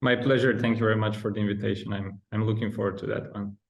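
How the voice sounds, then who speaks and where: A man's voice: fairly low pitch, level tone, quick pace, gravelly. A man in his thirties from Bulgaria, speaking with a Bulgarian accent as a podcast guest, explaining with frequent ums and restarts. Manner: hesitant, many ums and restarts. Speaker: guest researcher. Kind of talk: podcast interview.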